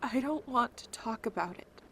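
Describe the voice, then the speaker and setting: A woman's voice: a little high, expressive, normal speed, a bit bright, a little hiss or hum. A woman playing a weary, touchy young survivor in a zombie audio drama. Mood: irritated, evasive.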